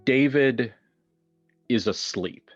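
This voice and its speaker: A man's voice: medium pitch, slow pace, highs cut off, faint music behind. A man hosting a horror roleplaying game in a casual, improvised way, with wry joking asides. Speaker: game master and host.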